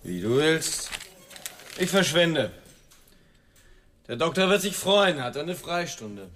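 Taunting prison attendant, swooping pitch. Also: male voice, very animated, takes it slowly, noisy background. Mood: sarcastic. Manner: taunting, brusque. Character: mocking, indifferent male prison attendant. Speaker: radio drama actor. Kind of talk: radio drama acting.

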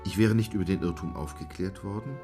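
Very deep, composed English gentleman played by a man. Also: music in the background, close to an everyday voice. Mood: earnest, tender. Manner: formal, measured, courteous. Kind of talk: German radio play acting.

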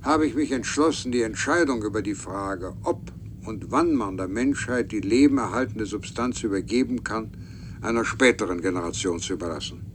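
An actor playing a solemn, formal official reading a letter deliberately in a German radio play. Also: male voice, lowish voice, a little hiss or hum, lightly acted. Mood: solemn, measured.

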